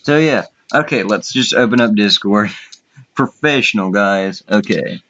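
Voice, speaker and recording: A man's voice: lowish voice, lively intonation, unhurried, a bit bright. A man chatting casually and crudely as he hosts a contest, with some swearing. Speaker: contest host. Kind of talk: casual chat, commentary. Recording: dull lo-fi voice chat audio.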